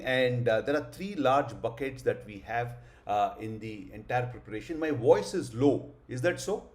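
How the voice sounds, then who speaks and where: A man's voice: medium pitch, darkish tone. A middle-aged man giving a live online class, earnest, with many hesitant fillers and rhetorical questions. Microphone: video call.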